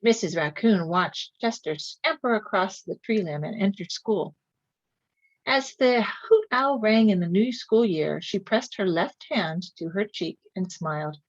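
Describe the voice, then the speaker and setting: A woman's voice: mid-range voice, a little slow, no room sound. A woman narrating a children's picture book in a read-aloud style, on a slightly dull recording. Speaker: story reader.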